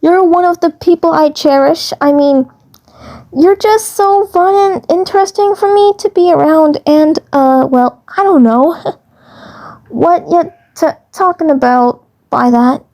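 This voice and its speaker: A woman's voice: very high-pitched, unhurried, warm tone, voice pushed up. A woman voicing a giddy, bashful cartoon girl who stammers in flustered exclamations. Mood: bashful stammering.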